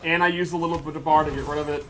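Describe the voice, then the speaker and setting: A man's voice: a little high, fairly even intonation, very fast, a bit bright, slight background noise, poor audio. A man giving casual gameplay commentary with a joking, self-deprecating manner. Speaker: game stream commentator and player.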